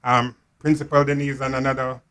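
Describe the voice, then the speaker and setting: A man speaking deliberately and somewhat formally, unscripted, as a health professional guest in an interview. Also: mid-range voice, level tone, a bit bright. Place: studio. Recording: clear background noise, room ambience.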